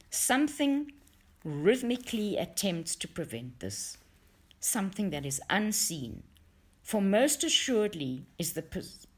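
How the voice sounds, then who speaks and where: A woman reading a book aloud as narrator, with expressive, deliberate emphasis. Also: on the low side. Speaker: audiobook reader for a study group.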